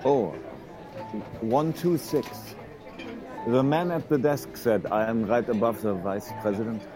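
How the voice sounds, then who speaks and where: Man playing uneasy German immigrant tradesman, late thirties, period drama, slow hesitant delivery, music behind. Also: mid-range voice, a crowd in the background, close to an everyday voice. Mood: guarded, nervous. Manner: halting, plain short sentences, hesitant.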